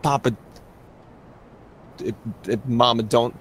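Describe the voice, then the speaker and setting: A man's voice: medium pitch, steady tone, slight background noise, lightly acted. A man voicing a distressed man who stammers and struggles to get his words out in a tabletop game. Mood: anxious, struggling. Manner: halting, stuttering, struggling to speak. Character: distressed stammering wheelchair-bound man warning a traveller.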